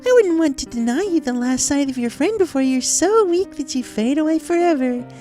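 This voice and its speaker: A woman's voice: high-pitched, lively intonation, fairly quick, warm tone. A woman voicing a manic, girlish cartoon pony killer in a dramatic story reading, sugary, theatrical and taunting, with sweet menace. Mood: menacing, wounded. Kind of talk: dramatic fan fiction reading in character. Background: music.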